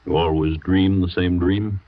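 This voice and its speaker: An actor playing a family doctor who calmly soothes a frightened patient and waves off her fears. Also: sits low, measured pace, dry recording. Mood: soothing. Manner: soothing, brushes fears off as nonsense. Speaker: radio drama actor. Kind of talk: old-time radio acting. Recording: dry, dull old radio recording.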